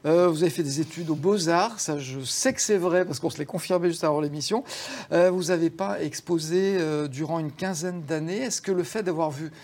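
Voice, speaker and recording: A TV show host leading a relaxed interview, chatty, prompting the guest with quick interjections and suggestions. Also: medium pitch. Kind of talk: conversational interview hosting. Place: TV studio.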